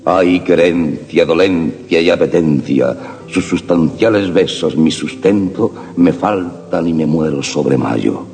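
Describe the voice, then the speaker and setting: A man reciting love sonnets in a slow, solemn, measured delivery. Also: low-pitched, darkish tone. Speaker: poetry reciter. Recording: long reverb with harp music behind.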